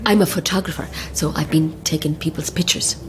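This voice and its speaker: A woman's voice: sits low, brisk, background noise, close to an everyday voice. A woman playing a friendly, teasing photographer passenger in a naturalistic audio drama. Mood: playful.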